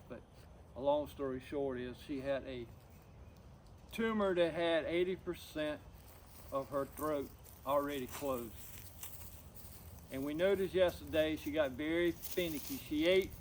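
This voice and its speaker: A middle-aged man talking personally to camera, subdued and grieving, in a halting, plain-spoken, folksy way. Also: a little high, slight background noise. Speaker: outdoors vlogger.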